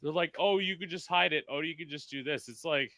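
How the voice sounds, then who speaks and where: A man's voice: fairly high pitch, a little fast, bright, forceful tone, dry recording. A man hosting a podcast in casual chat, talking emphatically and filling his speech with "like". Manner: chatty, emphatic, full of "like" fillers; performs in drag. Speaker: podcast host.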